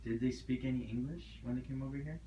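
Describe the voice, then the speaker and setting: A man's voice: medium pitch, steady tone, fast. Male interviewer, short prompting questions, muffled echoey room with faint hiss. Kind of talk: oral history interview.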